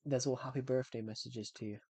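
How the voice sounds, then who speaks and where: A man rambles through a relaxed game livestream at an ordinary mid pitch, circling back over the same phrases. His voice sits close and dry on a streaming mic. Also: fast, slightly rough, no room sound. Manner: rambling, repeats and restarts phrases. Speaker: gaming streamer. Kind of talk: casual livestream chat while playing.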